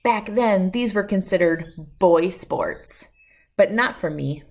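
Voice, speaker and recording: A woman's voice: on the low side, lively intonation, slow, gravelly, darkish tone. A woman reading a children's picture book aloud as host, telling it expressively in the first person. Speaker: storybook read-aloud host.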